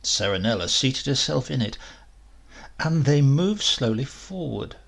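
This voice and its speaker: A man reading a literary story aloud in an expressive, measured, dramatic storytelling manner. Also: medium pitch, gravelly. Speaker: story reader.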